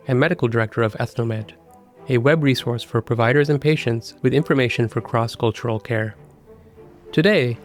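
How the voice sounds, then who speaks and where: A man of middle pitch hosts a podcast, reading his introduction and questions in a measured, formal way. Soft music plays faintly behind him. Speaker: physician and podcast host. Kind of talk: scripted intro and interview questions.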